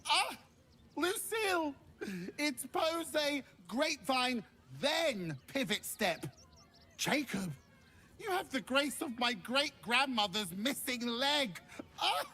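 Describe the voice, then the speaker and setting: A haughty, flamboyant ringmaster of the dead from a fantasy game. The delivery is slow and grand, with big swoops of pitch. The temper is theatrical and exasperated. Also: female voice, lively intonation, bright, forceful tone, exaggerated voice. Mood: exasperated coaching.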